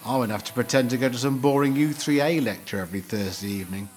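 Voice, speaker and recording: A middle-aged man playing a mild, slow-witted, pedantic husband in a comic audio play, hesitant, literal-minded and polite. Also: mid-range voice, a little mellow, close to an everyday voice. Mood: matter-of-fact. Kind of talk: two-hander comic audio play dialogue.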